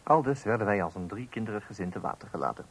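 An actor playing a wry family father who narrates a Dutch radio play in a measured way. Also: on the low side, lively intonation, slightly rough.

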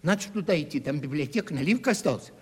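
An actor playing a clownish, boastful and cowardly travelling comedian in a radio play, theatrical and grumbling. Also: male voice, a little high, lively intonation, racing, a little hiss or hum, natural voice. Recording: reverberant 1970s radio play studio recording.

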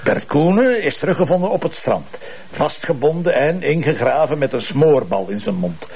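A man's voice: a little high, animated, normal speed, vocal fry, a little mellow, breathy edge, heavily acted. A Flemish man playing a bossy, sardonic police commissioner who lectures and teases his team in a TV crime drama. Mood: firm, explanatory. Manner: commanding, teasing, lecturing. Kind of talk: naturalistic TV crime drama acting.

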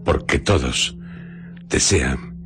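A man with a Castilian Spanish accent hosting a radio show, narrating a script in a solemn, theatrical, deliberate way with pauses. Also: extremely low pitch. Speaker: radio host. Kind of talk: dramatic scripted radio narration introducing horror and adventure stories. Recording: music bed under studio radio voice. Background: music.

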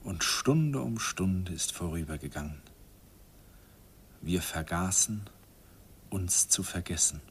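Older man looking back, very low voice, wistful and self-ironic, radio play narrator. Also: expressive, slow, long pauses, slight background noise, exaggerated voice. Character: grown man fondly recalling his youth, self-mocking.

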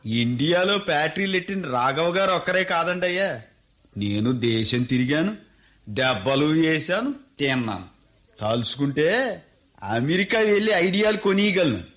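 A man's voice: a little high, very animated, normal speed, a bit bright. An actor playing a shrewd, boastful rural landlord who mocks his visitors and orders his servant around. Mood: mocking. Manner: mocking, boastful. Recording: dull lo-fi radio recording.

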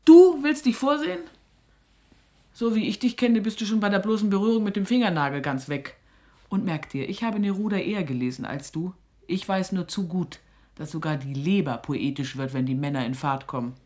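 A woman's voice: on the low side, lively intonation, fairly quick, mellow, natural voice. An actress playing a suspicious, scolding middle-aged mother with an earthy, sarcastic manner in a radio drama. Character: stern, sharp-tongued, suspicious mother of a young woman.

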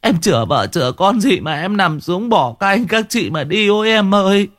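A man's voice: sits high, sing-song, moderate pace, fairly bright tone, dry recording, studio quality, voice pushed up. A man doing a wailing grieving old mother. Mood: wailing grief. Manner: keening, sobbing lament. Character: grieving older woman wailing for her brother.

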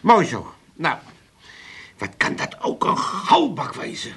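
An actor playing a chummy, wheedling drinker in a comic radio drama. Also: male voice, a little high, wildly swooping pitch, takes it slowly, fairly bright tone, husky, exaggerated voice. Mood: chummy, cajoling. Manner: rambling, wheedling, indignant when refused. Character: wheedling, thirsty male pub drinker, chummy.

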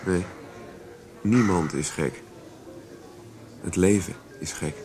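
A man's voice: low voice, slow pace, soft background music. An actor playing a curt, brooding man set on revenge in a radio play. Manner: curt, flat replies.

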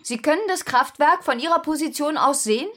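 An actress playing a composed TV news anchor who puts formal, measured questions in a radio drama. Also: female voice, sits high, a bit bright. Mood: composed, probing.

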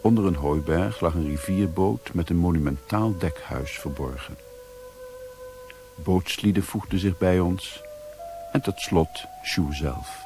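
Low-voiced, sober adventurer-narrator. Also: male voice, dark tone, soft background music. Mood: measured, reflective. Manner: measured, literary, sober. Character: reflective male adventurer narrating his journey in retrospect. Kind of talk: scripted first-person radio play narration. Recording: hissy old radio play recording.

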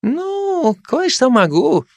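A man's voice: extremely high pitch, huge pitch swings, takes it slowly, gravelly, no echo, voice pushed up, heavily acted. A man voicing a playful Kazakh soldier who jokes with his comrades. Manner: playful, sly. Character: joking Kazakh soldier nicknamed Chinaman.

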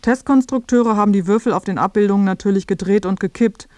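A woman's voice: medium pitch, quick pace, darkish tone, no echo. A woman narrating a radio feature from a script in a factual, explanatory way. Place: dry studio.

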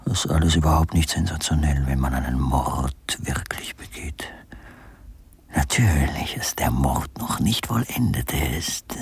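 Radio crime drama: controlled murderer, mocking, methodical, insinuating murmur, very low creaky register. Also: male voice, dry recording, heavily acted. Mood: murmured, calculating. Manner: deliberate, insinuating, often dropping to a murmur. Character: coldly methodical murderer, American writer husband, mocking and controlled. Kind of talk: German radio crime drama acting with interior monologue.

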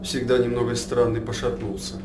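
An actor playing a resolute, plotting court dignitary in a theatrical radio play, speaking gravely and persuasively. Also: male voice, mid-range voice, little pitch movement, a little fast, noisy background, close to an everyday voice. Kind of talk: theatrical verse radio drama acting. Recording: echoey, distant microphone, music behind.

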